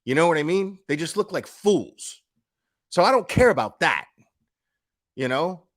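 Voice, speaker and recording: A man's voice: on the high side, very animated, a little slow. A man giving casual, opinionated commentary as a streamer, speaking in a blunt, emphatic, foul-mouthed manner.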